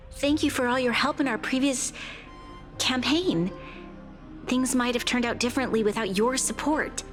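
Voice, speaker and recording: A woman's voice: fairly high pitch, lightly acted. A woman playing a dignified, dutiful young ruler in a video game, speaking formally and politely. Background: game music.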